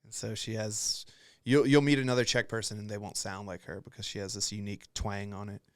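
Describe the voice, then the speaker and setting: Dry, mid-pitched casual male podcast host. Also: level tone, fairly quick, no room sound. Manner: profane, rambling storyteller who acts out quotes.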